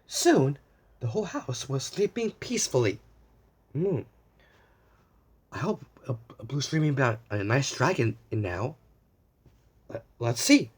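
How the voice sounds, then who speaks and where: Animated, unhurried children's storyteller. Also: medium pitch, breathy edge. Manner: gentle exclamations, asides and repeats to young listeners. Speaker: children's story time host.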